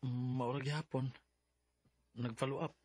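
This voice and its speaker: An actor playing an earnest, insecure young suitor in a Filipino radio drama, with a husky voice and a Bisaya accent. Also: male voice, mid-range voice, flat delivery, slow pace, a little mellow, no room sound. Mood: pleading.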